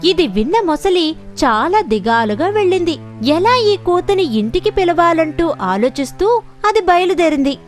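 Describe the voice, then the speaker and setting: A woman with a high, very animated voice reads a children's story. She tells it expressively, with soft music underneath. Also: measured pace. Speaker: story narrator. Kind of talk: scripted children's story narration.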